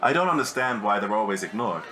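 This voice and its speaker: A man's voice: fairly low pitch, animated, fast talker, sharp tone. A man acting an affable character in a light comic audio drama. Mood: smooth, friendly.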